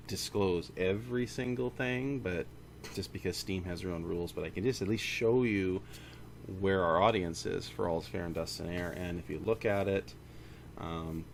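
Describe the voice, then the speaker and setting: A man's voice: low-pitched, vocal fry, a little hiss or hum. A man giving a casual, rambling studio update as a game developer, often correcting himself mid-sentence. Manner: informal, rambling, self-correcting. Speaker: indie game studio founder. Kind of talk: casual monthly studio update to camera or mic.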